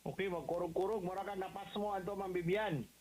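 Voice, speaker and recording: A man's voice: sits high, fairly even intonation, quick pace, a little mellow. A man with a Visayan accent hosting a casual testimonial interview, often echoing back what he is told. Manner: repeats caller's answers. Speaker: radio interviewer. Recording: very noisy radio reception.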